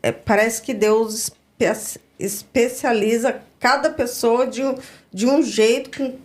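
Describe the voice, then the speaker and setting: Slow-spoken woman host. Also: on the high side, fairly even intonation. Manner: soft, affirming responses. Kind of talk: interview conversation. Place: studio.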